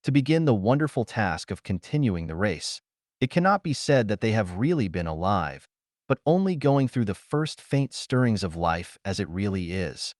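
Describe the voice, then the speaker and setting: Stately alien envoy played by a male narrator, low, cultured and serene, dry pulp science-fiction audiobook. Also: big pitch swings, moderate pace, a little mellow, no room sound. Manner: clear, cultured, solemn.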